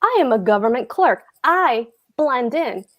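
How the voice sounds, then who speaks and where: A woman's voice: on the high side, big pitch swings, unhurried, fairly bright tone, exaggerated voice. A woman reading the part of a pompous, anxious husband, a fussy government clerk, at a table read of a stage comedy. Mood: lecturing, fretful. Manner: pompous, hectoring, fretful.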